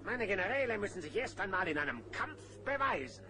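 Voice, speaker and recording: An actor playing an imperious, short-tempered villainous duke in a German children's cartoon audio play. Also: male voice, sits high, big pitch swings, a little fast. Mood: scornful, smug. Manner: imperious, shouting orders. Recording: noisy old cassette recording.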